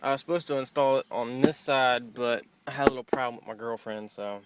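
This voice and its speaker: A man's voice: medium pitch, fairly even intonation, brisk, noisy background. A man giving a casual, off-the-cuff walkthrough as an amateur vlogger, informal and given to mild swearing, recorded on a handheld camera in and around a car. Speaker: amateur vlogger showing a car radio install.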